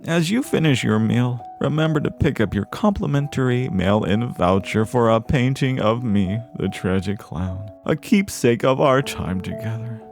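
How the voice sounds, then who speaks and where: Tragic male clown pitchman, played by a man: lively swooping pitch, theatrically friendly yet needy, wistful radio-ad storytelling, gravelly edge. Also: soft background music. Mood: mournful salesmanship. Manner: drawn-out, dramatic, wistful storytelling.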